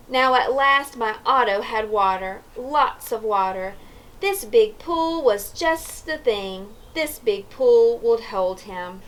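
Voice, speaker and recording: A woman reading a story aloud to young children in an expressive, deliberate way, inviting them to join in. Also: fairly high pitch, rough recording. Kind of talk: read-aloud storytelling for preschoolers.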